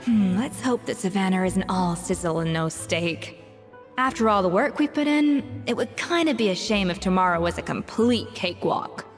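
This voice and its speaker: A woman playing a proud, reflective and determined young master chef in a video game, speaking in a measured, articulate way. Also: mid-range voice, expressive, big-room sound, faint music behind, natural voice. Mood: determined, confident. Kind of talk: scripted game dialogue.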